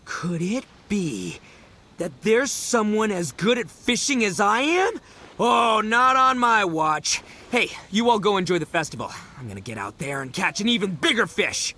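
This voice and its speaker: A boastful, excitable young fisherman in a game. His voice sits high and bright with lots of swing in the pitch. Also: unhurried, heavily acted. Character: boastful, eager young male fishing enthusiast. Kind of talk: game dialogue.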